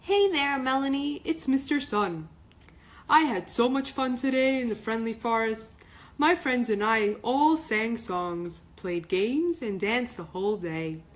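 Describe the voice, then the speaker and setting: A woman's voice: on the high side, animated, measured pace. A woman voicing a friendly male sun from a children's storybook, eager and pleading, with some background noise. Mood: cheerful, then happy.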